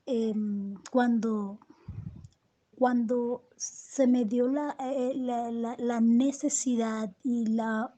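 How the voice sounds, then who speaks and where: A Colombian woman poet giving reflective interview answers, halting and restarting often as she searches for words. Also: fairly high pitch, fairly even intonation, dark tone. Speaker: poet and storyteller, interview guest.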